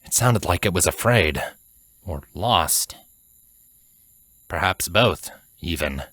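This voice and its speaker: A man reading scripted fantasy fiction as narrator, in a measured, dramatic storytelling manner. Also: low-pitched, animated, a bit bright, no room sound.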